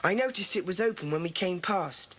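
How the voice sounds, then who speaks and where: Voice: medium pitch, lively intonation, brisk, a bit bright, lightly acted. A performer playing a confident, decisive schoolboy who leads a club of child detectives. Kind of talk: children's audiobook dramatisation. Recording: faintly noisy old cassette recording.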